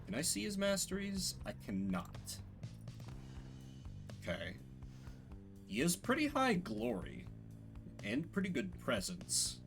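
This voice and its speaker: A man's voice: high-pitched, wildly swooping pitch, slow, vocal fry, pauses often, fairly bright tone. A man streaming a video game, giving casual commentary as he thinks aloud. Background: game music.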